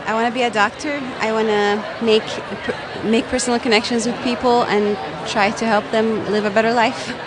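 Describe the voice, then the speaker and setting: A woman's voice: a little high, little pitch movement, moderate pace, few pauses, crowd noise, background noise. A woman student from Saudi Arabia answering interview questions in a friendly, hesitant way. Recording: busy crowded conference hall.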